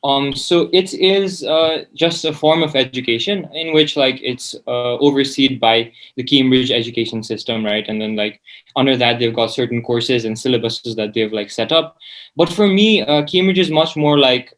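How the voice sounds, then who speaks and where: A man's voice: medium pitch, moderate pace, a bit bright. A seventeen-year-old man, chatting casually as an interview guest in a hesitant, informal way, often saying "like". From Nepal. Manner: hesitant, informal, peppers speech with "like". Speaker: student guest.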